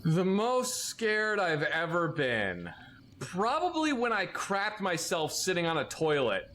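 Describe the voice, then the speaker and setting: A man casually telling military anecdotes, animated, with laughing asides. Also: high voice, takes it slowly, a little hiss or hum. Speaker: podcast guest, military veteran. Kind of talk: casual storytelling.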